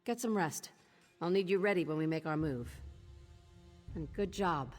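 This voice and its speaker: A guilt-ridden woman who runs a thieves' guild in a fantasy video game. Her low, husky voice is terse, earnest and used to giving orders. It rings in a long reverb with music behind it. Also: moderate pace. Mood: brooding, resolute.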